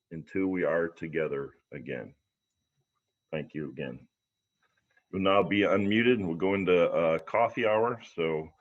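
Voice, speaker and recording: American man, deep pitch, hesitant, informal church host, dull video call sound. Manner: hesitant, tentative, repeats words. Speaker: church service leader. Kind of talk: informal hosting of an online service.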